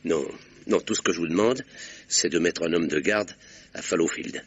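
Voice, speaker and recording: A deep, slightly husky doctor-sleuth in a vintage radio play, dry and ironic as he lays out his reasoning. The sound is dull and muffled, like an old broadcast tape. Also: moderate pace. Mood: worried. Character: shrewd male doctor-detective, calm and ironic. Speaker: amateur detective doctor. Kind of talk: 1960s French radio drama acting.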